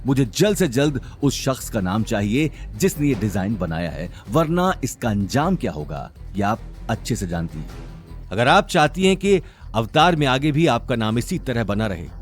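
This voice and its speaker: A man's voice: medium pitch, sing-song, moderate pace, faint music behind, lightly acted. A man voicing an angry, contemptuous company executive in an audio drama, scornful, emphatic and commanding. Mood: stern, threatening. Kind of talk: Hindi audio drama acting.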